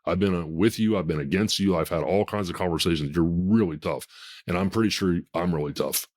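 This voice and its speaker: A man in his fifties hosts a podcast conversation, his deep voice sitting very low. He talks bluntly and emphatically, with a self-assured tone. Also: fairly quick, darkish tone. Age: 50s. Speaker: podcast host.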